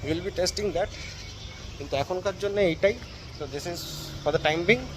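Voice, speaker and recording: Man, Bengali Indian accent, slightly high voice, quick informal vlog demo, background vehicle noise. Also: fairly bright tone. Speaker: vlogger presenting a product test. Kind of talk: informal hands-on product demonstration.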